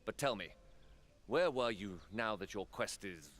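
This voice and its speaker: A man's voice: on the low side, expressive, unhurried, a bit bright. A man playing an earnest, harried council statesman in a fantasy game, speaking with weary urgency. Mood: exasperated, grave. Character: earnest, harried male council statesman under political pressure.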